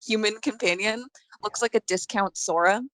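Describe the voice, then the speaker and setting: A woman's voice: medium pitch, normal speed, a bit bright, no echo, rough recording. Woman guesting on a podcast, casual n enthusiastic, stumbles a bit, dry close sound. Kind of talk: casual chat about cartoons and memes.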